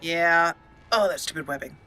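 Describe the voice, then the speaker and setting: A woman's voice: fairly low pitch, normal speed, bright, forceful tone, soft background music. A woman hosting a let's play, chatting playfully through her gameplay, laughing often and reading game text aloud. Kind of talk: gameplay commentary.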